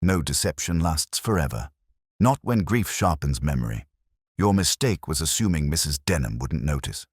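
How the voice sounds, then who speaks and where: A male narrator acts out a cool, incisive Victorian detective from a storybook mystery. His voice is very low, yet it rises and falls in animated sweeps. He speaks formally and deliberately, as one who commands the room. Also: breathy edge, dry recording, clean studio sound, heavily acted. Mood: soft but firm. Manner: deliberate, formal, accusatory speech.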